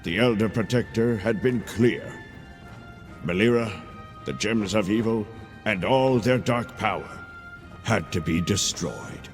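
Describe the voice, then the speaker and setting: An actor playing a kindly older storyteller who tells a child a fairy tale in a theatrical, measured way for a video game. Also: male voice, on the low side, big-room sound, faint music behind. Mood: dramatic, excited. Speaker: storyteller narrator. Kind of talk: dramatic fairy-tale narration.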